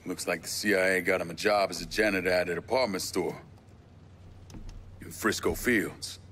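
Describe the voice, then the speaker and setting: Hard-nosed action lead, deep-voiced and blunt, curses readily, faint ambient noise. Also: male voice, moderate pace, a bit bright. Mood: focused. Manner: blunt, businesslike, swearing. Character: tough, blunt, foul-mouthed man of action. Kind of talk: video game cutscene acting.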